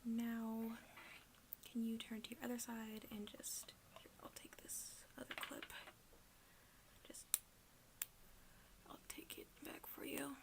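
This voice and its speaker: A woman whispering in a breathy, hushed, halting way, doing an ASMR roleplay as a gloomy, deadpan emo best friend. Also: a little high. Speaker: ASMR video creator.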